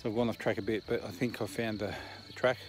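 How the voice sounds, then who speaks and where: A man's voice: on the low side, fairly even intonation, fast talker, faint music behind. A man in his thirties with an Australian accent, talking casually to camera while hiking a bush trail at night, out of breath and puffing between phrases. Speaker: hiker vlogger. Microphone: camera held close at arm's length.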